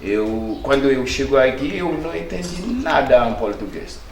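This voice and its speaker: A Haitian man with a Haitian Creole accent answering interview questions in halting words, often searching for the right one. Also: medium pitch, expressive, reverberant, a little hiss or hum.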